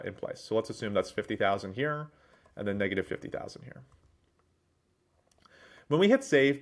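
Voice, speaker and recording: A man narrating a business software demo in a businesslike, explanatory way, with wordy explanations. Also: fairly low pitch. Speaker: presenter, managing director at a software consultancy.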